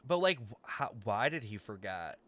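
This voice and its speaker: A woman's voice: extremely low pitch, sing-song, normal speed, a bit bright. Casual, rambling woman streamer reacting to a game. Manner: rambling, exclamatory, sweary. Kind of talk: casual live gameplay reaction commentary. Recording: game music behind the voice.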